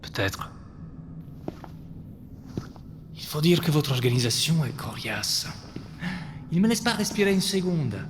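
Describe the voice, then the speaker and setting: A man playing a menacing terrorist in a French audio drama, taunting his enemy in a deliberate, theatrical, mocking manner. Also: a little high, sing-song. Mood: mocking, threatening. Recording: reverberant hall, faint background noise.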